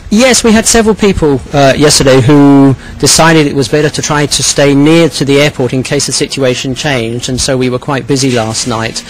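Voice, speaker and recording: A man giving a measured, explanatory account in an interview, sometimes repeating phrases as he goes. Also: medium pitch. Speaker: hotel owner and tourist police volunteer interviewee. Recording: clearly noisy location recording with ambient sound.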